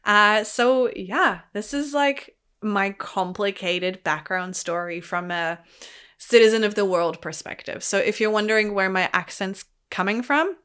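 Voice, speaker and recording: A woman's voice: mid-range voice, a little slow, fairly bright tone, smooth. A young woman hosting a solo podcast, with an international, American-leaning accent with a slight New Zealand twang, talking in a rambling, chatty way, full of fillers and easy laughs. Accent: American-leaning international English with a slight New Zealand twang. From born in Poland, grew up in Germany. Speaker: podcast host. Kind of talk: casual solo podcast monologue.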